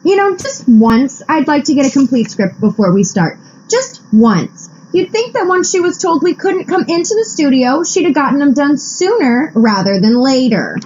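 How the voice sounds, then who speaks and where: A woman's voice: sits high, very animated, normal speed, darkish tone, muffled recording, slight background noise. A woman playing an irritable actress in an old radio cast, sarcastic and complaining. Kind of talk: amateur stage acting over video call. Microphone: video call.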